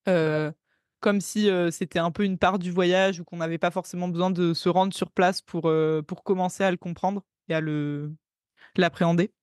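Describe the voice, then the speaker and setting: A podcast host asking a thoughtful question, pausing often with fillers. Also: medium pitch, fairly even intonation, a little mellow, clean tone, dry recording, studio quality. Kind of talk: interview questions.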